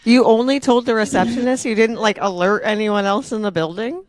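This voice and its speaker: A woman's voice: fairly high pitch, fairly quick, no room sound. A woman co-hosting a podcast, chatting casually. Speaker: podcast co-host. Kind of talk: casual chat.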